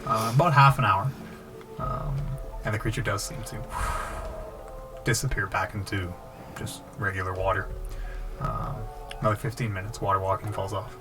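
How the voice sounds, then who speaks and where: A man running a tabletop game as game master, improvising narration and banter with pauses mid-sentence. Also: fairly low pitch. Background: music.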